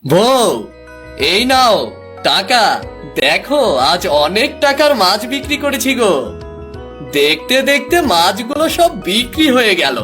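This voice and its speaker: Man as high-pitched, cheerful village fisherman. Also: sing-song, unhurried, sharp tone, soft background music, heavily acted. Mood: proud, busy. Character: poor, good-hearted cheerful village fisherman husband. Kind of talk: Bengali cartoon folk-tale dubbing.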